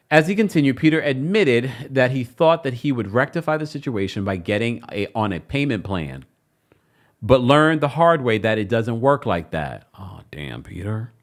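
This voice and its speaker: Sassy man giving lively gossip commentary. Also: medium pitch. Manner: sassy, mocking, lively asides. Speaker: show host. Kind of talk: commentary on celebrity news.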